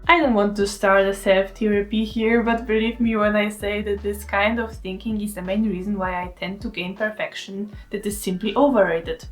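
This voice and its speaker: A woman's voice: medium pitch, fairly even intonation, moderate pace, hardly any pauses, faint music behind. A woman in her twenties from Poland with a Polish accent, narrating her story as an artist in a candid, self-deprecating way. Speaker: artist vlogger. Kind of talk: scripted voice-over narration.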